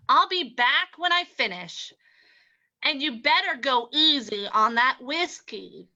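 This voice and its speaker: Female actor as saloon showgirl, radio play: high, slow, no-nonsense, dull lo-fi sound. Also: expressive, sharp tone, heavily acted. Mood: impatient, scolding. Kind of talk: old-time radio drama acting. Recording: dull lo-fi recording.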